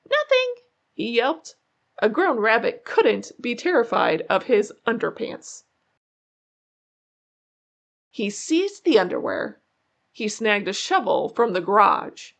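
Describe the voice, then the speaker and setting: A woman reading a children's story aloud in an expressive way, drawing out key words for suspense. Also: medium pitch, takes it slowly, gravelly, pauses often. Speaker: children's book narrator. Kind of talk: animated read-aloud storytelling.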